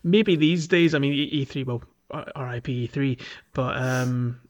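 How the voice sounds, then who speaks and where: A man hosting a podcast in casual conversation, thinking aloud in a halting way. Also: mid-range voice, highs cut off. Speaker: podcast host.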